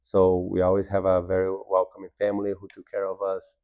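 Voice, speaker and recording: A man's voice: deep voice, monotone, a little fast, no echo, muffled recording. A man in his thirties from Brazil, a native Portuguese speaker, answering interview questions politely and steadily. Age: 30s. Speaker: guest.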